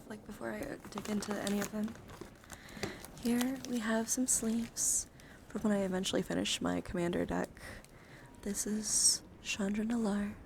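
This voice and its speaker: A woman streamer chatting in a soft-spoken ASMR style, hushed and often slipping into a whisper, close to the microphone. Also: mid-range voice, slight background noise. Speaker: livestreamer.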